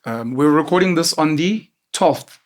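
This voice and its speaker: A man's voice: on the high side, expressive, a little slow. A man hosting an interview, talking in an effusive, chatty and admiring way. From South Africa. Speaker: host. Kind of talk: interview conversation.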